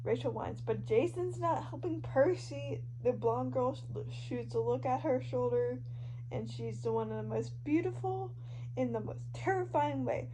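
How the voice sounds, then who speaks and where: Amateur podfic reader, female, high voice, casual expressive delivery with small stumbles, clearly noisy audio. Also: unhurried. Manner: informal, stumbles over words. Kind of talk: expressive fan fiction reading of social media posts and narration. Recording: noisy amateur home recording.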